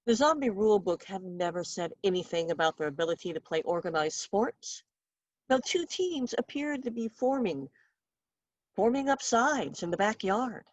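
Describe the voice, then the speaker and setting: A woman's voice: medium pitch, big pitch swings, normal speed, no echo, dull audio. Female author for a story reading: wry, theatrical storyteller, mid-range voice, very little room sound. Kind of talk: expressive literary story reading.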